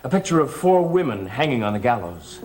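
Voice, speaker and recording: An actor playing an earnest, protective, suspicious gentleman in a gothic television soap. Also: medium pitch, sing-song, fairly quick, lightly acted, low-quality recording. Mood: troubled.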